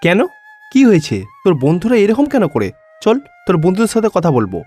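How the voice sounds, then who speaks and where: Concerned, indulgent cartoon dad man acting, raised high pitch, emphatic questions, faint music. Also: wildly swooping pitch, brisk, darkish tone, exaggerated voice. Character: concerned, indulgent father of a devout boy. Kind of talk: cartoon story dialogue.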